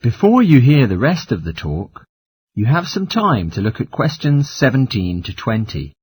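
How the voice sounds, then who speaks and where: A man's voice: mid-range voice, big pitch swings, normal speed, a little mellow, breathy edge. A man reading scripted test instructions as a formal exam announcer.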